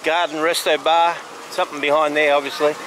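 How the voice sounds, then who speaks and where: Aussie guy on a walking vlog, kinda high voice, halting casual chat, noisy traffic behind him. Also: level tone. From Australia. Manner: halting, pointing out sights as he walks. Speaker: walking tour vlogger. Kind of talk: casual walk-and-talk commentary. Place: outdoors, walking along a city street. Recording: outdoor street recording with clear traffic noise. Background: street and vehicle noise.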